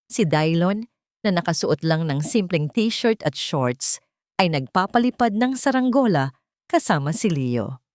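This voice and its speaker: A woman narrating a scripted romantic drama with sentimental, deliberate phrasing. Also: fairly low pitch, a little mellow, no room sound, clean studio sound.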